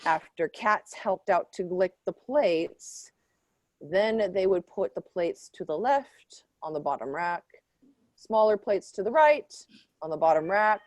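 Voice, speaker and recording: A woman playing a smug, defensive professor in an improvised mock debate, lecturing haltingly and with emphasis. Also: lowish voice, animated, no echo. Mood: pedantic.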